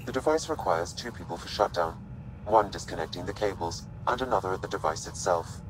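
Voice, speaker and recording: An actor playing a calm ship artificial intelligence in a video game, speaking in measured, precise, formal statements. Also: male voice, low voice, noisy background.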